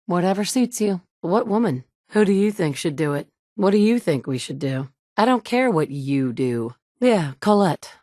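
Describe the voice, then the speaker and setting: A woman's voice: on the low side, expressive, moderate pace, few pauses, darkish tone, dry recording, natural voice. An actress playing a determined young mother searching for her kidnapped son in a video game, speaking in a dry, blunt, sometimes sarcastic way. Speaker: player character. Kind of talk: video game dialogue lines.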